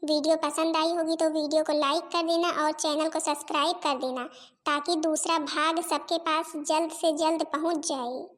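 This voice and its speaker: Casting: woman acting as a very high-voiced cartoon housewife, put-upon, pleading and talkative. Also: flat delivery, moderate pace, natural voice. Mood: chatty. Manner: complaining, pleading, addresses relatives and viewers directly. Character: weary, gossipy Indian daughters-in-law in a family cartoon. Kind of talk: Hindi family comedy cartoon voice acting.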